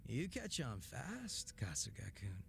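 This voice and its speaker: An actor playing a cocky, laid-back former gang boss in a video game, teasing and sardonic with a languid drawl and casual swearing. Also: male voice, mid-range voice, huge pitch swings, slow, vocal fry, a little hiss or hum, exaggerated voice. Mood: candid, boastful. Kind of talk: English video game voice acting.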